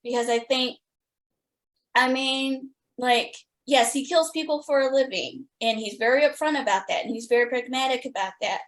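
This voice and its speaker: A woman hosting a podcast, talking as an enthusiastic fan in a gushing, halting way. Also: high voice, fairly bright tone. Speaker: podcast host interviewing guests.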